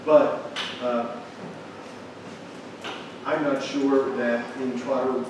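A man speaks from far off in a heavily reverberant meeting hall, with clear noise around him. He explains procedure to a board in a measured, careful way. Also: medium pitch, fairly even intonation. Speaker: town official advising a board. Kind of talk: explanatory talk at a public meeting. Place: large meeting room, distant camera. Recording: very echoey room, distant mic, noisy.

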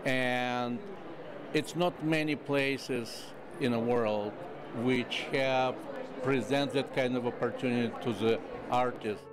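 Slow-talking older man. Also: medium pitch, fairly even intonation, crowd noise. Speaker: board member. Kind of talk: interview remarks. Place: large indoor lobby. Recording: noisy lobby ambience. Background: background noise.

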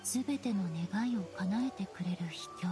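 A woman playing a cool, proud young knight in an anime game, poised and matter-of-fact in her measured delivery. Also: mid-range voice, over music, close to an everyday voice. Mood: pensive. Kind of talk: Japanese anime game acting.

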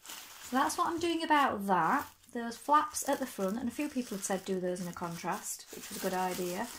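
Woman, 50s, crafting vlogger; slightly croaky, chatty explainer, roomy echo, fixed desk camera. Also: medium pitch, normal speed, fairly bright tone, a little hiss or hum. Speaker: sewing vlogger. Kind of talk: casual show-and-tell. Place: bright sewing room, indoors.

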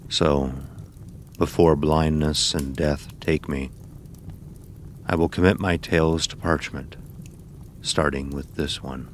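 An actor playing a weary old man narrating his dark memoirs in an audio drama, solemn and measured. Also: very deep voice, a little hiss or hum. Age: older.

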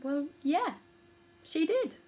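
A woman's voice: high-pitched, sing-song, slow pace, mellow, pitched above the performer's own voice, exaggerated voice. A woman voicing an innocent, timid, polite baby boy elephant in a children's story, hesitant and naive. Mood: innocent, curious.

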